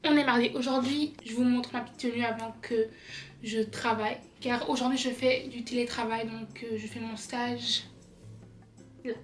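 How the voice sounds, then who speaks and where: A woman's voice: fairly high pitch, steady tone, normal speed, faint music behind. A young woman from Belgium vlogging casually at home, tired and chatty. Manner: chatty, tired, says genre a lot. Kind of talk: casual vlog chat.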